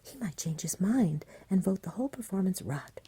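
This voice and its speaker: Very breathy, soft whispering woman narrator. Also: medium pitch, expressive. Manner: gentle, slow, soothing. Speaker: ASMR storyteller. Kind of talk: ASMR bedtime story reading, scripted narration.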